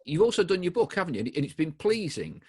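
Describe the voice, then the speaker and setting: A man's voice: fairly high pitch, big pitch swings, quick pace, darkish tone, husky. A man hosting a podcast, asking questions with tag endings and stumbling restarts. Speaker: podcast host. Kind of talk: interviewing, casual.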